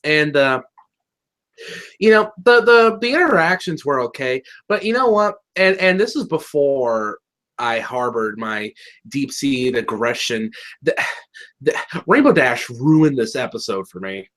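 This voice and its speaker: A man's voice: mid-range voice, a little slow. A man co-hosting a casual review chat over a webcam, joking and ranting, often restarting his sentences. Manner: excitable, joking, trails off and restarts. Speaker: co-host of a review video. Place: indoor room.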